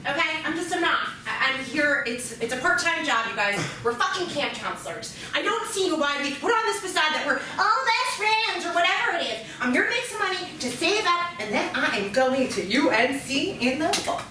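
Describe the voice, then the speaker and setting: A woman's voice: high-pitched, big pitch swings, brisk, few pauses, pushed and bright, exaggerated voice. A woman playing a blunt, dismissive teenage camp counselor in an improv scene. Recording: distant live stage, roomy echo, noise.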